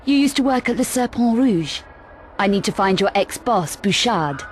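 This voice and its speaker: An actress plays a tough young female adventurer in a video game cutscene. Her delivery is dry and deadpan, with a sardonic, threatening edge. There is clear noise behind her medium-pitched voice. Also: moderate pace, close to an everyday voice. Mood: cool, threatening.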